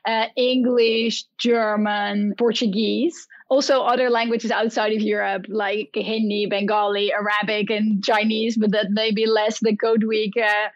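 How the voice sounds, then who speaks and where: A woman's voice: medium pitch, level tone, a little slow, few pauses, no room sound, muffled recording. A woman with a Dutch accent, a guest on a podcast, enthusiastically explaining programming education with examples. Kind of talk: podcast interview.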